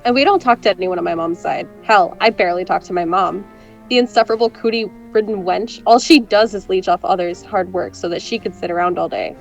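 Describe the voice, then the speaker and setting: A woman's voice: mid-range voice, brisk, vocal fry, dull audio, soft background music, natural voice. A young woman playing a brash, sarcastic, teasing friend in a scripted romantic comedy audio drama. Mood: sardonic, animated. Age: young adult. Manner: sardonic, teasing.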